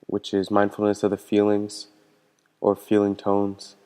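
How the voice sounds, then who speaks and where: Male meditation guide: mellow, smooth delivery, calm and gentle instruction with unhurried pauses. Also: fairly low pitch. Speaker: meditation teacher. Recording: long hall-like reverb.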